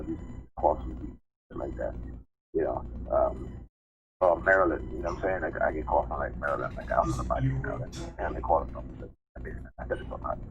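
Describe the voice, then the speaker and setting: A man venting casually, rambling and repeating himself in slangy, profane talk. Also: sits low. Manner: rambling, repetitive, slangy and profane, often saying "you know what I'm saying". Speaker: call-in panelist. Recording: dull noisy call audio.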